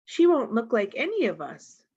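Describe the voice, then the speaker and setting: A woman voicing a gentle, patient, reassuring mother in a children's storybook. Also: fairly high pitch, big pitch swings, moderate pace, slightly rough, highs cut off.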